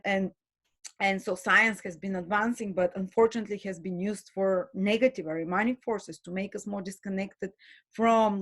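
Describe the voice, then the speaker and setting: A woman giving an earnest spoken lecture as a spiritual teacher, pausing and restarting her phrases often. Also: fairly low pitch, gravelly. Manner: earnest, with frequent restarts and hesitations.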